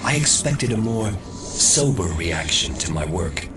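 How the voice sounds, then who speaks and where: An actor playing a mysterious disembodied mastermind in an audio drama, measured and coaxing with a smug, softly menacing calm. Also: male voice, deep voice. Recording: distant voice under loud music.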